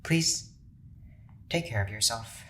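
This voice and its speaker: Audio drama scientist man acting: stiff, precise, formal, bright forceful tone, faintly noisy recording. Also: on the low side, expressive, slow, full character voice. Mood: formal concern. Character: stiff, formal scientist doctor running experiments.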